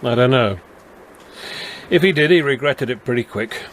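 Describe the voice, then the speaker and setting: Male actor as avuncular older constable for radio drama, expressive intonation, plain-spoken, quietly authoritative. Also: medium pitch, normal speed, a little hiss or hum, natural voice. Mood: kindly firm. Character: avuncular, firm but kindly London beat policeman. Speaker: police constable and narrator. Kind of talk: naturalistic radio drama acting and storytelling.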